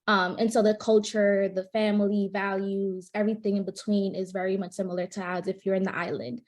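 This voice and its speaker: A woman's voice: mid-range voice, little pitch movement, a little fast, darkish tone. A young woman from New York, a guest on a panel, chatting in a friendly, casual way with many fillers. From New York, United States. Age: young adult.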